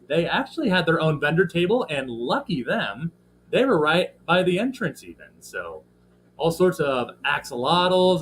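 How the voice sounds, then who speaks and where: A man with a slightly scratchy voice, hosting a casual livestream and talking in a rambling way with lots of fillers while greeting viewers in the chat. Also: a little high. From American Midwest. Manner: rambling, filler-heavy, friendly shout-outs to chat. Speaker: livestream host. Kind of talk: casual live stream chat.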